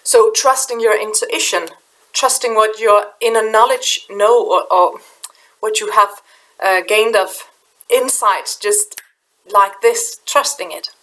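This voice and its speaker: A middle-aged woman from Denmark with a Danish accent, speaking English softly at her webcam, halting and repeating words as she gropes for them. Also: mid-range voice, steady tone, poor audio. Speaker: spiritual channeler. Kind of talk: casual spiritual vlog talk. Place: small room indoors. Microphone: webcam in front of her.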